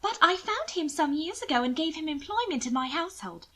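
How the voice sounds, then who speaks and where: A woman's voice: sits high, animated, a little fast, sharp tone, voice pushed up. A woman voicing a doting, manipulative upper-class young lady for an audiobook, coaxing and full of affected endearments. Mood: assured, persuasive. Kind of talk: audiobook character acting.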